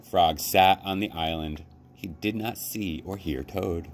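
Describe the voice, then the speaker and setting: Slow, low-voiced male storyteller. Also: slight background noise. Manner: expressive, simple deliberate phrasing. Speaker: library storyteller. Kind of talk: children's storybook read-aloud.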